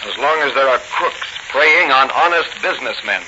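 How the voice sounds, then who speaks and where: An earnest, self-assured crime-fighting hero played by a man speaks at a middle pitch. The delivery is classic 1940s radio acting, on a dull recording with clear noise. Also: expressive, normal speed, natural voice.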